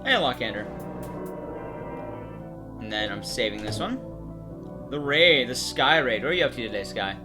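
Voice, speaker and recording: A man's voice: medium pitch, big pitch swings, normal speed, long pauses, over music. A man giving casual, conversational live stream commentary on a game, explaining things directly to his viewers. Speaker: streamer and horror game speedrunner.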